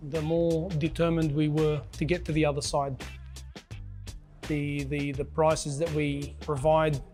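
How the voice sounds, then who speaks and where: Man, fairly high voice, monotone, halting speech, interview style, faint background music. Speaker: general manager of a tyre business. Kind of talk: interview testimonial about a family business.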